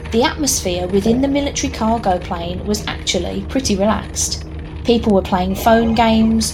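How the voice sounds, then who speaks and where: A woman narrating a scripted audio drama in a measured storytelling manner. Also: medium pitch. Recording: clear background noise, ambience.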